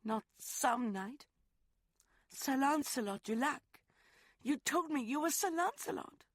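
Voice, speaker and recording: A man voicing a proud, hurt young woman in an audiobook, pleading and sharp. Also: very high voice, animated, takes it slowly, no room sound, clean studio sound, pitched above the performer's own voice, heavily acted. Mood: accusing. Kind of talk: audiobook character voice.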